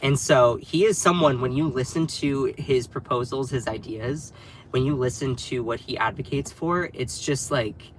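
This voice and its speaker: Man, nasal, getting over a cold, chatty livestream host, medium pitch, faint hiss behind. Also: normal speed, poor audio. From North Carolina. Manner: chatty, addresses the live chat directly. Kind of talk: casual live commentary.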